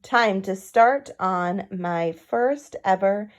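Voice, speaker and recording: A woman's voice: medium pitch, very slow. A woman voicing an eager, polite young boy bunny in a children's picture book, chirpy and exclaiming. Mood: excited.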